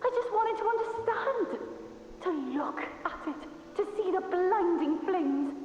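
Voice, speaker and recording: A woman's voice: very high-pitched, lively intonation, unhurried. An actress playing an intense, proud and anguished young woman warrior in a video game. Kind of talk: dramatic video game acting. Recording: echoey hall, distant and muffled.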